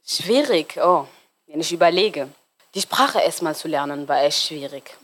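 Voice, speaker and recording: A woman in her twenties from Somalia, German not her first language, talking as an interview guest and often pausing on fillers while searching for words. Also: deep voice, lively intonation, a bit bright. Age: 20s. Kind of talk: casual interview talk.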